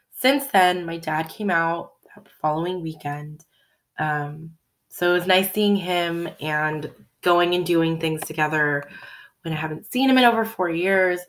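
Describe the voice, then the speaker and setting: Young woman vlogger, hoarse voice from a cold, rambling chatty talk, home webcam. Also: fairly low pitch, a bit bright. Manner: chatty, rambling, self-correcting. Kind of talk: casual personal catch-up vlog. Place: living room at home. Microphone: webcam at desk.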